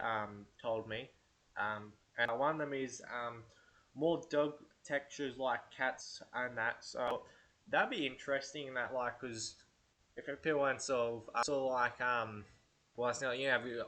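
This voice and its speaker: A man's voice: mid-range voice, pushed and bright. A man with a head cold, talking casually and hesitantly through ideas for a game video, full of fillers and restarts. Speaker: gaming channel host. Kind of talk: casual unscripted commentary.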